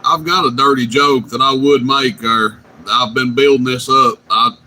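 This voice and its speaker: A man's voice: mid-range voice, fairly even intonation, normal speed, sharp tone. A man teasing and joking with a friend on CB radio. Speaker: CB radio operator. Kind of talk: casual radio banter. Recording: noisy CB radio transmission.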